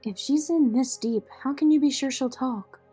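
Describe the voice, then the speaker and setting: A woman's voice: high-pitched, moderate pace, warm tone, lightly acted. A woman acting a loyal, no-nonsense ally of a vigilante in an audio drama. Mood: concerned, probing. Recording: faint music behind the voice.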